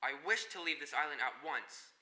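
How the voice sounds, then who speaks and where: A man's voice: a little high, expressive, moderate pace, sharp tone. A man playing a defiant, uneasy castaway hunted as prey in an amateur drama, speaking in a terse, guarded way. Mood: tense refusal. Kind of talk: amateur short story dramatization.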